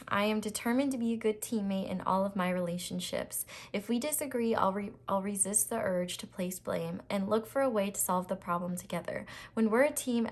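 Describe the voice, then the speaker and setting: A woman with a slightly raspy voice doing a casual, reassuring tarot reading to camera, with frequent ums and restarts. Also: medium pitch. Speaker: tarot reader.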